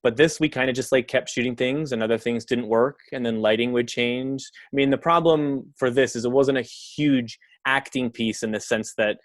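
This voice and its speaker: A man's voice: mid-range voice, normal speed, hardly any pauses, dry recording, studio quality. A man, a filmmaker from Canada, talking casually on a podcast in a rambling way, full of fillers and the odd swear word. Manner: rambling, heavy on fillers and profanity. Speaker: filmmaker guest.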